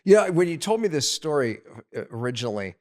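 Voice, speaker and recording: A man's voice: fairly high pitch, very animated, quick pace, darkish tone. A man hosting an interview podcast, recapping his guest's points and prompting her with short questions. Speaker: podcast host. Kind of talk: conversational interview.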